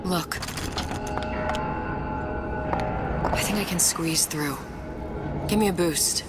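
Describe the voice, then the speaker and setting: A woman's voice: fairly low pitch, unhurried, natural voice. An actress playing a driven, ruthless woman hunting a runaway girl in a dramatic video game. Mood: focused, strained. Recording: loud game music over dialogue.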